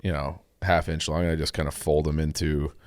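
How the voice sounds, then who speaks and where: A man's voice: extremely low pitch, little pitch movement, fairly quick, no room sound, clean studio sound. A young man co-hosting a podcast, telling rambling, laid-back stories in casual chat. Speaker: podcast co-host.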